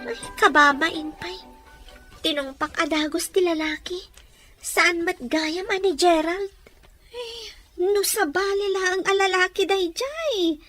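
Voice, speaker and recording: A woman's voice: very high voice, measured pace, sharp tone, faint music behind, heavily acted. An actress with a Filipino accent playing a young woman in an emotional drama, hot-tempered but remorseful and wounded in love. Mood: regretful. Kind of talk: emotional audio drama acting.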